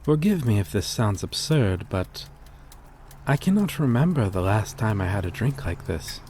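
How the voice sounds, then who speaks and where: A man playing a gentle, courteous vampire in an intimate ASMR roleplay, speaking in a formal, hesitant, apologetic way. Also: fairly low pitch, big pitch swings, normal speed, slight background noise. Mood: courteous, grateful. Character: courteous, gentle male vampire stranger, kindly and old-fashioned.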